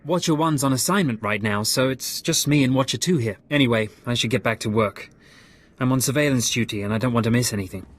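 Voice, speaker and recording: A man's voice: medium pitch, fast talker, lightly acted. A man playing an awkward, modest surveillance analyst in a sci-fi game, hesitant and apologetic with small stammers. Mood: nervous, modest. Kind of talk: scripted video game dialogue.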